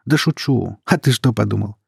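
A man voicing a sharp-tongued young woman, a teasing elder sister who taunts and scolds in an audiobook story. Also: lowish voice, vocal fry, breathy edge, dry recording, studio quality, full character voice. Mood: playful teasing. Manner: taunting, brash, quick to scold.

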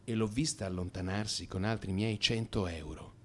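A man's voice: lowish voice, normal speed. A man narrating a theatrical first-person radio drama monologue, dramatic and changeable in his delivery.

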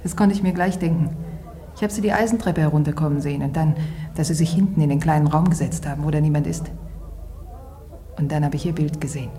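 An actress plays a young woman from a tavern in a radio drama. Her voice sits a little low. She speaks curtly and urgently, practical and unflustered, like someone in charge. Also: fast talker, dark tone, lightly acted. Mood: secretive, practical. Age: young adult. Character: brisk, cool-headed young innkeeper's daughter helping a fugitive. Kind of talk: German radio drama acting.

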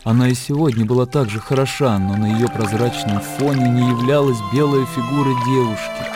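A man reading literary narration for a radio drama in a composed, measured storytelling manner. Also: medium pitch, fairly even intonation. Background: soft music.

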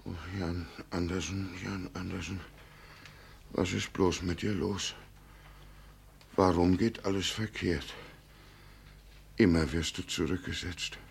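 A man's voice: sits low, fairly even intonation, slow pace, creaky, pauses often, exaggerated voice. A man playing an anxious, grumbling poor farmhand and new father in a vintage German radio play. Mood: despairing. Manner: plaintive, lamenting, exclamatory. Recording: noisy vintage 1950 radio recording.

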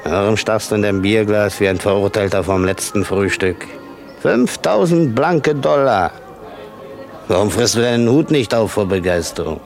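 A man's voice: lowish voice, lively intonation, normal speed, faint music behind. An actor voicing a brooding, taunting inner voice of a weary hitman in a radio drama, sardonic and insistent. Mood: sardonic, bitter. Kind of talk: dramatic monologue in radio play.

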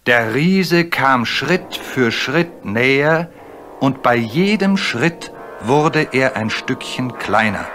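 A man narrating a German radio play in an expressive, suspenseful storybook style. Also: medium pitch, takes it slowly, faint music behind.

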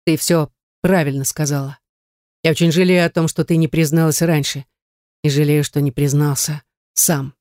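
A woman's voice: sits low, steady tone, moderate pace, darkish tone, no echo, clean studio sound, natural voice. A woman narrator voicing a stern, commanding man in love with a serious, muted delivery in an audiobook. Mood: earnest.

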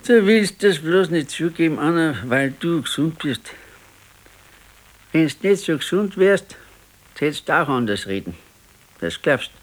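A man's voice: on the high side, animated, unhurried, long pauses, rough recording. An actor playing a discontented, regretful husband in his sixties in a naturalistic radio play, talking plainly with a Bavarian accent. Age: 60s. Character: discontented, regretful ailing 68-year-old husband. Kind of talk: naturalistic 1970s radio play dialogue in dialect.

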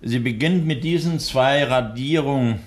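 An actor playing an older, courteous and worried doctor in a 1960s German radio drama, speaking in a measured, hesitant way. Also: male voice, mid-range voice, natural voice. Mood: storytelling, courteous.

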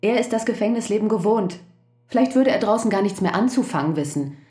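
An actress playing the worried, pleading wife of a prisoner in a radio drama. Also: female voice, medium pitch, lively intonation, quick pace, warm tone, reverberant, close to an everyday voice. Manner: anxious, pleading. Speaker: wife Hazel.

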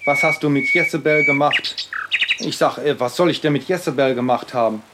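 A man giving a rambling personal monologue, speaking haltingly, often repeating himself and circling back on his phrases. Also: medium pitch, very noisy.

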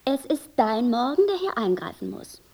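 A woman's voice: a little high, sing-song, normal speed, darkish tone. An actress playing an imploring, dramatic lady in a German radio play. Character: imploring, dramatic lady urging men to intervene.

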